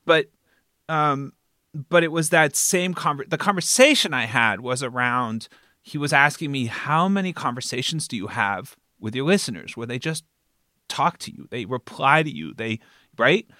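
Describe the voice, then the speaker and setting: A man hosting a conversational podcast interview in an animated way, often checking in with the listener as he talks. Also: mid-range voice, normal speed, dry recording, studio quality. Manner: animated, frequently checking in with "right?".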